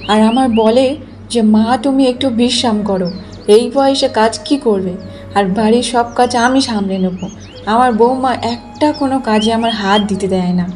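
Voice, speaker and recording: A woman's voice: fairly high pitch, unhurried, darkish tone, slight background noise, close to an everyday voice. A woman voicing a friendly middle-aged village auntie in a cartoon, full of persuasive advice. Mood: advising, chatty. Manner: advising, persuasive. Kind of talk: Bengali cartoon voice acting.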